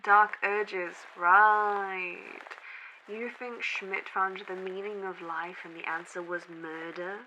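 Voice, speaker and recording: A woman's voice: mid-range voice, fairly even intonation, slow pace, sharp tone, highs cut off, slight background noise. A woman playing a sceptical college student podcaster in an audio drama, speaking in a dry, sarcastic, conversational way. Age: young adult. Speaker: college true crime podcaster.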